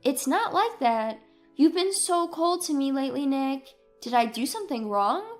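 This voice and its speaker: An earnest, sensitive wife acted by a woman in a narrated story. Her voice is high and sincere, over soft background music. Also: lively intonation, normal speed. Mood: hurt, protesting. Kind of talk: dramatised story dialogue.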